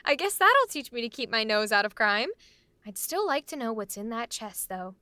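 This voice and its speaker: A woman's voice: fairly high pitch, very animated, normal speed, bright, forceful tone, no echo, studio quality. A woman playing a chirpy, sociable young guest in an old-time radio drama recreation, breezy and theatrical. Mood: playful. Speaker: radio play actor.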